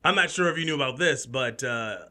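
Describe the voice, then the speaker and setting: A man's voice: mid-range voice, brisk, bright, forceful tone. A man who is a comedian, chatting as a podcast guest, upbeat and laughing a lot. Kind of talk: casual chat.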